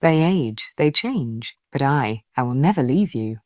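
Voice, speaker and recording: A low-voiced undead husband played by a woman storyteller, cold and possessive in an eerie folktale. His slow, coaxing lines swing in pitch while staying tender and menacing. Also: lively intonation, darkish tone, no room sound, clean studio sound.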